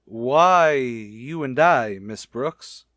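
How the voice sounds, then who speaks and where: An American actor playing a shy, naive bachelor schoolteacher, hesitant and earnest, in a vintage radio sitcom. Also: male voice, medium pitch, lively intonation, drawn-out words, a bit bright, dry recording. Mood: flustered, earnest. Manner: hesitant, earnest, literal-minded.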